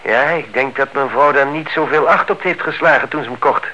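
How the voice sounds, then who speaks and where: An actor playing a courteous, evasive married neighbour in a Dutch radio play, smooth-talking and guarded. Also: male voice, mid-range voice, brisk, sharp tone, close to an everyday voice. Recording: dull, noisy old radio recording.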